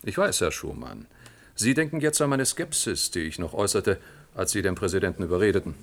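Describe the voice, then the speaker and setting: An actor playing a smug, scheming middle-aged general in a German science-fiction radio drama, with an oratorical, condescending manner. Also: male voice, deep voice, sing-song, fairly quick. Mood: smug, calculating.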